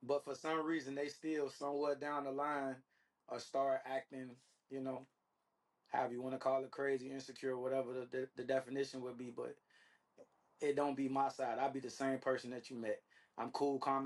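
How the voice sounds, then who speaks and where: A man's voice: medium pitch, little pitch movement, brisk. A man speaking casually as an interview guest, using slang and repeated check-in phrases. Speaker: interview guest, reality dating show contestant. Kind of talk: casual conversational interview answers.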